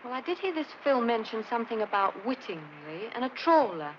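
Young-voiced widowed wife, high pitch, muffled vintage film sound, faint noise. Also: female voice, big pitch swings, brisk. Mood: subdued, puzzled. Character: recently widowed wife, plain-spoken and cooperative. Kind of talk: 1950s British film drama acting.

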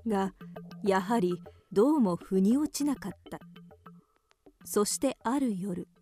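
A woman narrating a radio drama from a script in a measured, composed manner. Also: mid-range voice, expressive, warm tone, faint music behind.

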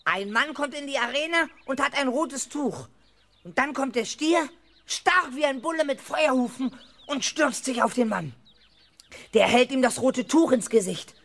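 A man's voice: very high voice, fairly bright tone, exaggerated voice. An actor playing a boastful, eager little boy who announces grandly and bossily in a 1970s children's radio play.

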